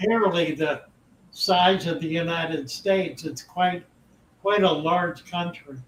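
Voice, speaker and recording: An older man hosts a casual chat, speaking slowly with a notably high-pitched voice. His replies are genial and encouraging. He sits well back from the mic in a roomy space, with faint ambient noise around him. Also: fairly bright tone, far from the mic. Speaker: host.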